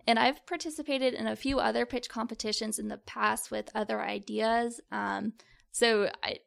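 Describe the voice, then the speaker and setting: A woman's voice: a little high, steady tone, moderate pace, gravelly, fairly bright tone. A young woman, a student founder, answering interview questions in an upbeat way with many fillers. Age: young adult.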